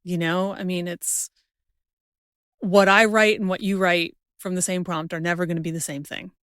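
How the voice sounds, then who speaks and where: A woman's voice: fairly low pitch, level tone, moderate pace, fairly bright tone, clean tone, no echo, clean studio sound. A woman hosting a podcast, talking in a casual, conversational interview style. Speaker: podcast host.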